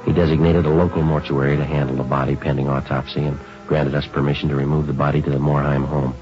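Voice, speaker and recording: An actor playing a calm, businesslike police sergeant in an old radio drama, clipped and matter-of-fact. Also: male voice, a bass voice, fast talker. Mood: flat, matter-of-fact. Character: calm, businesslike police sergeant who narrates his cases. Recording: dull old radio recording, music.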